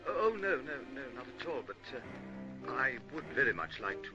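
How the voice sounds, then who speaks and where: An actor playing a polite, anxious gentleman who hesitates and stammers formally, in an old radio drama. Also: medium pitch, sing-song, moderate pace, highs cut off, close to an everyday voice. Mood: flustered, worried. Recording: old radio recording with music.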